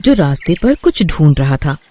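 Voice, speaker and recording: Higher-pitched male story narrator. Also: sing-song, moderate pace, dark tone, a little hiss or hum.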